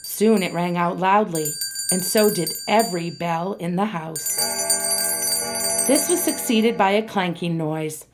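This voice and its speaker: A woman's voice: lowish voice, measured pace. Woman narrating over music. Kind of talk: radio play narration.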